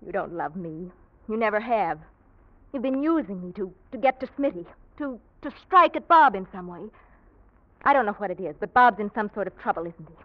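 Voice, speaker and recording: A woman's voice: mid-range voice, lively intonation, fairly quick, lightly acted. An actress playing a cool, proud, defiant young woman with a pointed, mocking manner in 1940s radio drama. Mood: scornful, defiant. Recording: dull vintage radio recording.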